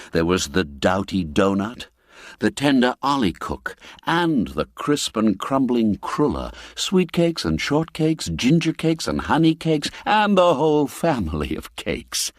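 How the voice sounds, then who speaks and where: A man's voice: dry recording, studio quality. A man narrating a radio drama in a theatrical manner, savouring old-fashioned phrases. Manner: slow and deliberate, with wide swoops in pitch. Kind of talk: dramatic audio-drama storytelling.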